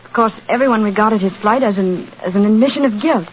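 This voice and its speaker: A woman's voice: mid-range voice, a little fast, lightly acted. An actress playing an earnest young Englishwoman, pleading in 1940s radio drama style. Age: young adult. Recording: muffled, noisy 1940s radio recording.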